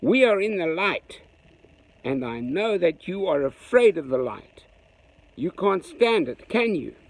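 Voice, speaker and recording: A man's voice: sits high, big pitch swings, unhurried, no echo, pitched above the performer's own voice, full character voice, poor audio. A man doing a plucky little chimney creature. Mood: defiant. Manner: bold, taunting. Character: brave little male chimney creature, defiant.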